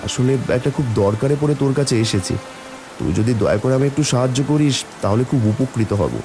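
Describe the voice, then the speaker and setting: A man playing a kind, humble village vegetable seller and father in an animated story, speaking in an earnest, pleading way. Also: medium pitch, fast, over music, close to an everyday voice. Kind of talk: animated Bengali moral story dialogue.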